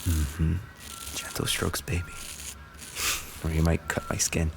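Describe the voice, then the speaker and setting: A man playing an affectionate, injured racing driver boyfriend in an intimate roleplay audio, speaking softly and tenderly, often close to a whisper. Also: very deep voice, completely flat delivery, slow pace, creaky, noisy background, heavily acted. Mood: playful, fond.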